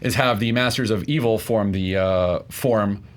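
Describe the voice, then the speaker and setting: A man debating pop culture informally as a contestant, earnest and halting, often acting out imagined reactions. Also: lowish voice. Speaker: debate contestant on a movie debate show.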